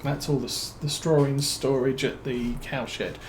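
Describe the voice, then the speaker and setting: A man with a British accent giving relaxed let's-play commentary as a streamer, musing and thinking aloud with plenty of fillers. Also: medium pitch, measured pace, a little hiss or hum, rough recording. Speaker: game streamer.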